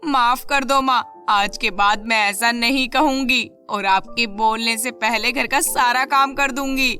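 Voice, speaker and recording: A woman voicing a mistreated, kind-hearted young girl in an animated children's moral story, earnest in manner. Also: on the high side, a little slow, soft background music. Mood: pleading. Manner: earnest, pleading.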